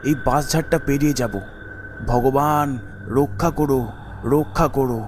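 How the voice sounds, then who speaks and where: A man's voice: medium pitch, fairly even intonation, normal speed, close to an everyday voice. A man voicing a frightened, pleading villager in a cartoon ghost story, with an anxious, dramatic delivery. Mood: fearful. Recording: background music under the voice.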